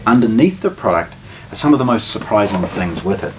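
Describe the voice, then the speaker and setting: A man's voice: fairly low pitch, animated, a little fast. A middle-aged man, giving an unscripted product unboxing commentary as a presenter. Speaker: shop presenter, product reviewer. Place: small indoor listening room with shelves. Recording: distant camera mic, background noise, clicks.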